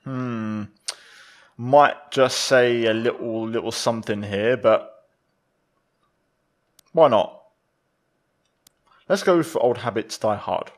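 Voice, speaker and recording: A man giving live commentary on a game as he plays it, thinking out loud. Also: medium pitch, slow, pauses often. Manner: thinks aloud while playing. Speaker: gaming video creator, player.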